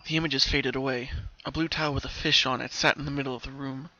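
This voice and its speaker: A man's voice: medium pitch, fairly even intonation, fast talker, bright, forceful tone, dry recording, muffled recording. A man reading scripted story narration in a dramatic style. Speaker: narrator of fan fiction.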